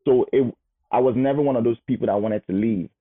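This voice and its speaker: A man in his thirties, a guest telling his life story in a casual, animated way. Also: medium pitch, fast talker. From Nigeria. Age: 30s. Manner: animated, repeats words as he goes. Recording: phone-like narrow sound.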